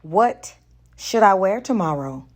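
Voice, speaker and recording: A woman's voice: mid-range voice, lively intonation, slow pace. Flirty romance heroine played by a female reader, coy and playful, taking her time. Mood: playful, seductive.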